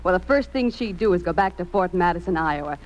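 A woman's voice: fairly low pitch, expressive, quick pace, dull audio, natural voice. An actress playing a blunt, indignant, tough-talking woman in an old radio drama. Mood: indignant, scolding. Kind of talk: 1950s radio drama acting. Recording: old radio recording, some noise.

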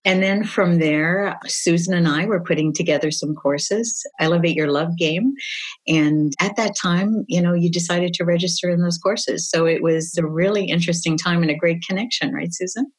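Low-voiced woman host, encouraging. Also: moderate pace. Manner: warm, encouraging, leading questions with "right?". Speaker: podcast co-host. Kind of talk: casual interview chat.

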